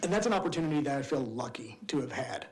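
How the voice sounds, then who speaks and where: A man's voice: mid-range voice, lively intonation, fast talker, darkish tone. A man testifying before a legislative committee as a policy advocate, speaking steadily and earnestly and weaving in a personal story. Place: committee hearing room.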